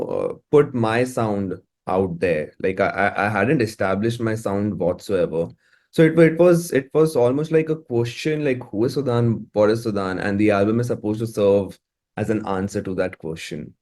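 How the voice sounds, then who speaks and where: A man talking casually as an interview guest, halting and repeating words as he thinks aloud. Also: lowish voice, a little mellow. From India. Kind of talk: casual, reflective interview talk.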